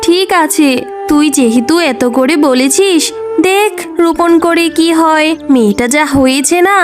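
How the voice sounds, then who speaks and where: Woman doing a cartoon fox mum, naggy but caring, high emphatic voice, faint music. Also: measured pace. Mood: exasperated. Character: scolding but caring fox mother of a young girl. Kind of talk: children's cartoon dubbing.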